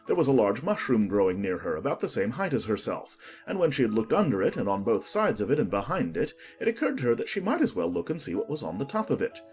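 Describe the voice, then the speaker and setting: A man narrating a children's audiobook in an expressive, scripted storytelling style. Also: mid-range voice, fast talker, few pauses, faint music behind.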